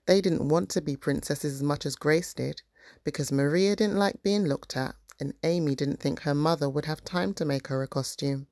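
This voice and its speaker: A woman's voice: low voice, moderate pace, darkish tone, no room sound, clean studio sound. A woman reading a children's picture book aloud as scripted narration.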